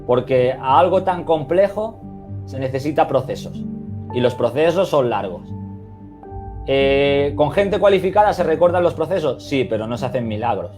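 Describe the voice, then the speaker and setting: A man's voice: mid-range voice, lively intonation, moderate pace, a little mellow, faint music behind. A man with a Spain Spanish accent talks as a football coach on a video call. He is reflective and stresses his points firmly. He often restarts his sentences and fills the gaps with "eh". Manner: reflective, emphatic, many restarts and "eh" fillers. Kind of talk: webinar interview answers.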